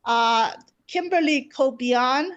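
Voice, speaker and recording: Woman poet, high voice, unhurried and deliberate, slightly muffled online call sound. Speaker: poet at an open mic. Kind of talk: poetry reading and brief casual remarks. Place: online video gathering.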